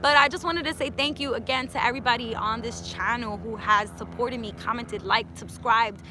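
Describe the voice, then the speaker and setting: A woman's voice: a little high, fairly even intonation, moderate pace, noisy background. A woman of about thirty from New City, chatting casually in an outdoor Q&A vlog and dropping in Spanish words. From New York City. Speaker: personal finance YouTuber. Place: outdoors in hot sun.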